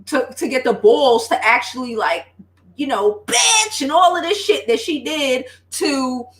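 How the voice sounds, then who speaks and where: A woman's voice: high voice, sing-song, unhurried, a bit bright, reverberant. An American woman giving casual gossip commentary on a livestream, talking in a sassy, blunt, slangy way. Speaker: YouTube livestream commentator and host.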